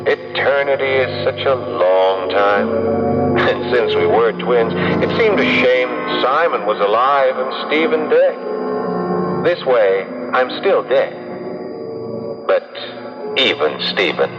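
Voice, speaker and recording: A man's voice: medium pitch, animated, slow pace. An actor playing a gloating, taunting twin posing as his dead brother in a theatrical radio mystery. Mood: sinister gloating. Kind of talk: old-time radio mystery acting. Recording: dull old radio recording with music.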